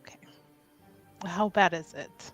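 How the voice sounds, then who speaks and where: A woman's voice: on the low side, takes it slowly, faint music behind. A woman voicing a flippant, defensive young noblewoman in a tabletop roleplaying game. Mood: cautious, probing. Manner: defensive, petulant. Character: petulant, flippant young noblewoman in a family quarrel.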